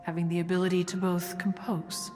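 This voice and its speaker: A woman's voice: on the low side, flat delivery, fairly quick, darkish tone, close to an everyday voice. A woman voicing an ancient, proud, austere immortal in a video game, speaking in a formal, pompous manner. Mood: proud, matter-of-fact. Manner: formal, pompous, calls herself "one". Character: ancient, proud, haughty female immortal adeptus, austere, learned. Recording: long hall reverb over music.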